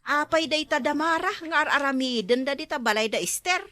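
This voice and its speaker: A woman's voice: sits high, fairly quick, pushed and bright. A woman with an Ilocano accent playing a nosy, chatty wife in a comedy drama. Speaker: gossiping wife and mother.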